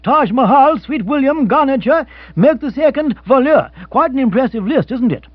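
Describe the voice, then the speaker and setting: A man's voice: very high voice, animated, moderate pace, dry recording, lo-fi sound, voice pushed up. A man reading an audiobook, voicing a male radio horse-race commentator calling the race in a rising, eager rush. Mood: excited.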